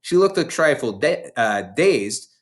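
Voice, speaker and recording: A reader giving an expressive, dramatic read-aloud narration of a children's novel. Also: medium pitch, a little slow. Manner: dramatic, animated storytelling. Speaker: storybook reader.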